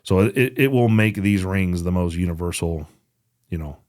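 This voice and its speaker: A man with a low-pitched voice speaks on a dry, close recording. He co-hosts a podcast and runs through product news in an informal, conversational style, as if talking straight to regular listeners. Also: normal speed, a little mellow, no room sound. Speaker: podcast co-host. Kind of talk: casual podcast product update.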